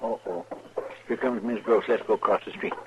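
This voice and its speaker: An actor playing a good-natured, timid marshal's sidekick in a 1950s radio western, chatty, with a folksy rural Western American drawl. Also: male voice, lowish voice, fairly even intonation, a little fast, close to an everyday voice. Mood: uneasy. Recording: noisy, dull 1950s radio recording.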